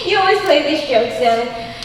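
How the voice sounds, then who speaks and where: A woman's voice: high voice, expressive, a little slow, fairly bright tone. A young woman playing an airy, amused actress backstage in a stage play, recorded in an echoing auditorium. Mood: amused, chatty. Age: young adult. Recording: very echoey auditorium, distant mic, faint noise.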